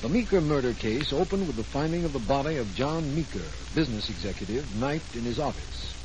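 Male actor as district attorney, medium pitch, formal, measured, old radio drama with faint noise. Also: lively intonation, close to an everyday voice. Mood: formal recap. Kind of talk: 1940s radio drama acting and narration. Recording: noisy old 1940s radio recording.

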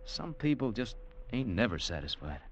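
An actor playing a dry, plainspoken cavalry trooper in a 1950s radio drama. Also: male voice, mid-range voice, big pitch swings, moderate pace, lo-fi sound.

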